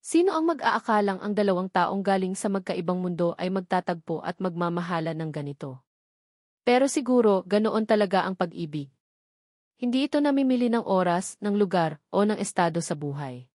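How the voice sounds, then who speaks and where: A woman telling a romance story in the first person, in a soft, heartfelt, scripted narration style. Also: mid-range voice, lively intonation, normal speed, no echo, clean studio sound.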